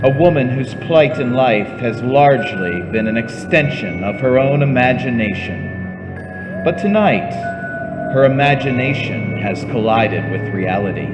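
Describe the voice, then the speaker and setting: Theatrical male radio announcer over loud music. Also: mid-range voice, lively intonation. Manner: theatrical, measured, portentous. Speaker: announcer and narrator. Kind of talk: scripted radio suspense narration. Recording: echoey hall with long reverb.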